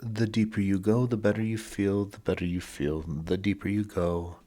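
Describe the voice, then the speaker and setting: A man narrating a sleep hypnosis session in a husky, breathy voice, with a slow, deliberate, soothing delivery and short pauses between phrases. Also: on the low side, fairly even intonation, dark tone.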